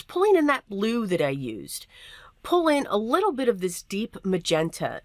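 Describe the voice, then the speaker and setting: A woman with a voice worn hoarse by allergies, clearing her throat now and then as she chats casually through a card-making tutorial voiceover, with plenty of fillers and self-deprecating asides. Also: mid-range voice, dry recording, studio quality.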